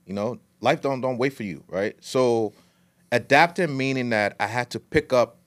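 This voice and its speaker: A man's voice: medium pitch, moderate pace, a bit bright. A middle-aged man from Liberia, telling his story as a podcast guest in a casual, long-winded way with street slang. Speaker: guest, food entrepreneur.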